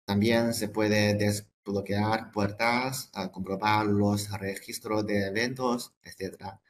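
A man presenting products in a webinar, halting and hesitant, often repeating words and breaking off phrases. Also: on the low side, level tone.

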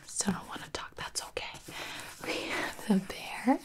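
A woman doing an ASMR roleplay as a baby photographer, whispering softly and cooing as if to a baby. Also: unhurried.

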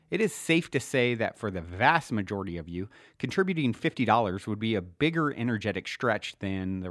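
A man reading his own self-help book as scripted narration, earnest and motivational with deliberate emphasis. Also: lowish voice, dry recording, studio quality.

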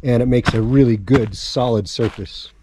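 A man's voice: medium pitch, moderate pace, noisy background. A man in his forties giving a casual walk-around vlog outdoors on open dry land, explaining his plans as he points things out. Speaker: homesteading vlogger.

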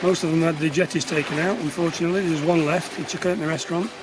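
A man with a fairly high voice gives easygoing, matter-of-fact sailing commentary. He talks aboard a sailboat on open water, and steady wind and water noise sits clearly behind him. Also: fast talker. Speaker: vlogger, sailor. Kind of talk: casual chat.

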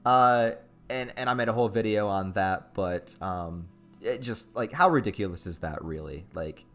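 A man's voice: on the low side, moderate pace, fairly bright tone, soft background music. A man hosting a casual interview, talking in an informal, slangy way. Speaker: host, interviewer.

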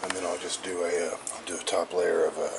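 A man's voice: low voice, flat delivery, fast, noisy background. A man narrating a casual cooking vlog in a home kitchen, rambling and folksy, often interrupting himself. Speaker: vlogger. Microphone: handheld camera held by the speaker. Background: loud music, sizzling pan.

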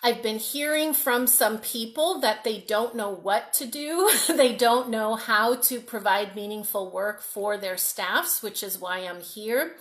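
Woman coach, 40s, slightly high voice, upbeat live-stream host greeting viewers, home webcam. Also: a little slow, few pauses, a bit bright. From Massachusetts, North Shore of Boston. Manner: upbeat, greets viewers by name, restarts words. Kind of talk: live-stream workshop talk. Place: room at home, indoors.

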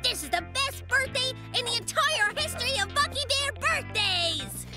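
A woman's voice: extremely high pitch, expressive, normal speed. A woman voicing a cheeky, exuberant young bear boy in a children's cartoon, with slangy delivery. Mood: delighted. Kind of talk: animated children's cartoon acting. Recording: children's cartoon music behind.

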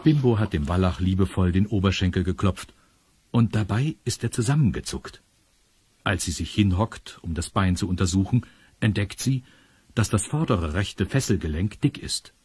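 A man's voice: deep voice, lively intonation, moderate pace, a little mellow, husky, no room sound. A man narrating a children's audio drama as a scripted storyteller.

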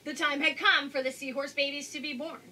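Casting: woman in her thirties, expressive children's storybook reader, bright high voice. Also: brisk. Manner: expressive, addressing young children. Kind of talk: children's picture-book read-aloud. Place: indoors, small room with curtain backdrop. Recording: faint background noise.

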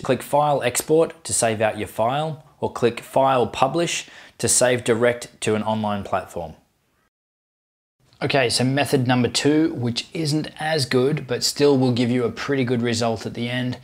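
A man in his thirties narrating a scripted how-to tutorial, giving clear step-by-step instructions as the host. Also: medium pitch.

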